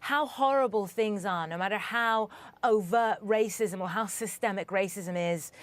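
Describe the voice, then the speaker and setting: A woman correspondent from Sudan answering interview questions in a measured, earnest way, often restarting her sentences as she thinks. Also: mid-range voice, dry recording.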